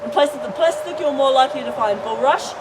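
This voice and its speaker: A woman's voice: high voice, fast talker, a crowd in the background. New woman guide demonstrating poi. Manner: explanatory, checks in with "okay?". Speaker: cultural performer and guide. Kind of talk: demonstrating and explaining to visitors. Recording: distant voice with loud background noise.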